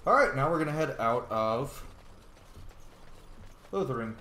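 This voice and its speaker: A man's voice: medium pitch, expressive, unhurried, slight background noise. A man hosting a let's play with casual, informal, exclamatory commentary. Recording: very echoey room, game music underneath. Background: loud game music.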